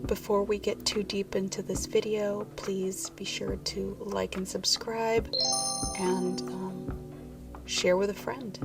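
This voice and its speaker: Woman voice-over host, gravelly scratchy throat, soft half-whisper, lo-fi music clearly underneath. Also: mid-range voice, flat delivery, slow pace. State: scratchy, congested-sounding throat. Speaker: journaling vlogger. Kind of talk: soft-spoken voice-over narration.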